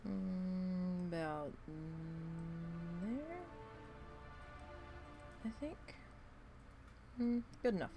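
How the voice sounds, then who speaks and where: A woman's voice: fairly low pitch, drawn-out words, long pauses, music in the background. A woman streaming a game and chatting casually to viewers, her voice stuffy and nasal from allergies, rambling with many ums. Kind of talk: casual live-stream chat.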